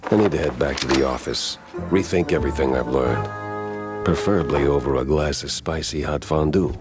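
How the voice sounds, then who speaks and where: A man voicing a world-weary, wisecracking noir detective mouse in a game, deadpan, with an American accent. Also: a bass voice, moderate pace, music in the background. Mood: hard-boiled. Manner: deadpan, dry.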